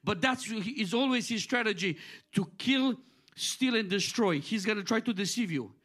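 A man's voice: sits high, lively intonation, normal speed, a bit bright. A middle-aged man from Romania preaching a sermon in an earnest, repetitive cadence, often restarting his phrases.

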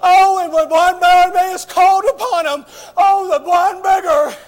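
A man's voice: very high voice, steady tone, a little slow. A man preaching an impassioned revival sermon, crying out with frequent "oh" interjections.

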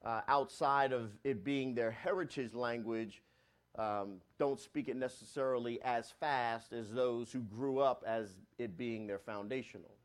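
A man pastor preaches at an even middle pitch. His delivery surges loud and high on key points, then sinks into quiet, low asides. He restarts and repeats phrases as he goes. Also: unhurried. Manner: swells louder on key points and drops low and soft on asides. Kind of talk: sermon.

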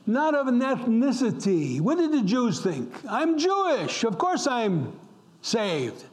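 Middle-aged male preacher from upstate New York, high voice, fervent, repeats himself, slips into hushed whispers. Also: huge pitch swings, takes it slowly. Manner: impassioned, repeats words for emphasis, drops to a whisper at times. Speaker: pastor. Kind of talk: sermon. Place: church.

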